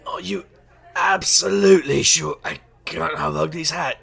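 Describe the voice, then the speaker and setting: An actor playing a wry, stubborn street magician detective in an audio drama, with a gravelly, sardonic delivery. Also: male voice, fairly high pitch, measured pace, a bit bright, heavily acted. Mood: cheeky. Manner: sardonic, wisecracking. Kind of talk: audio drama acting and noir-like narration.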